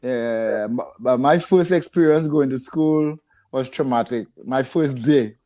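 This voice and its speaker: A man's voice: medium pitch, unhurried, dark tone. An older man from Trinidad with a Trinidadian accent, telling stories in a casual, conversational way as an interview guest. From San Fernando, south Trinidad. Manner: chuckles often, conversational reminiscing. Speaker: podcast guest, retired rehabilitation director.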